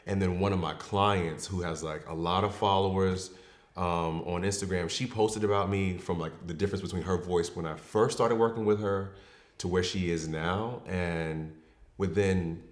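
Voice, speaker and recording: A man in his thirties talks openly about his life, reflective and unhurried, with plenty of "um" and "like" along the way. His voice is very low and deep. The room around him is echoey and bounces the sound back. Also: fairly even intonation. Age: 30s. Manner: reflective and open, with frequent fillers. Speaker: podcast guest. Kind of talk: candid personal storytelling.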